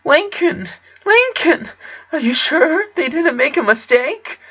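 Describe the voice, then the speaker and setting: A man voicing an anxious young boy, a loyal best friend, with a high, strained voice in a story reading. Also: big pitch swings, slow pace, bright, forceful tone, highs cut off, heavily acted. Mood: panicked.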